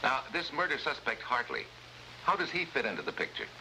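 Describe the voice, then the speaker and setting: An actor playing a wry, tough American special agent in a 1950s spy drama, with an American accent and sardonic delivery. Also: male voice, medium pitch, sing-song, a little fast, bright, forceful tone, heavily acted. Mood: businesslike. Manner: wisecracking, sardonic, hard-boiled. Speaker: actor in the lead role. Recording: noisy vintage 1950s broadcast recording.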